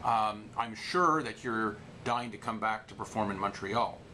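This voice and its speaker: A man interviewing a guest in a friendly, chatty way, firing off quick questions. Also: lowish voice, sharp tone, a little hiss or hum, poor audio.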